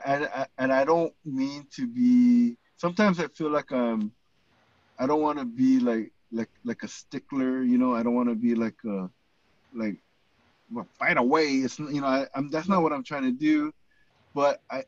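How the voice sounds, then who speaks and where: A man from the United States co-hosting a podcast, talking casually and haltingly with many fillers. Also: mid-range voice, darkish tone, no room sound, highs cut off. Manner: casual, halting, lots of fillers like "you know". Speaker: podcast co-host.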